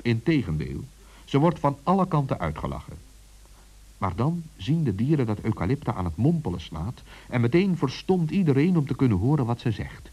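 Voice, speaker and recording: A man's voice: medium pitch, very animated, normal speed, mellow, slightly rough. A man narrating a children's story expressively, as a storyteller, on a dull vintage recording.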